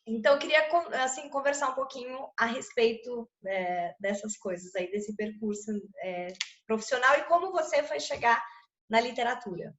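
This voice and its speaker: A woman's voice: fairly high pitch, lively intonation, moderate pace, a bit bright, highs cut off. Woman interviewer in an echoey room. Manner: brief encouraging interjections. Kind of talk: casual interview.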